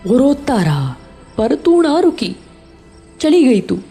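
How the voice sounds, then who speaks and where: A woman's voice: sits high, big pitch swings, gravelly, mellow, soft background music, full character voice. A woman playing a guilt-ridden older caretaker, mournful and pleading in a horror audio drama. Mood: mournful reproach. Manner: mournful, regretful, halting.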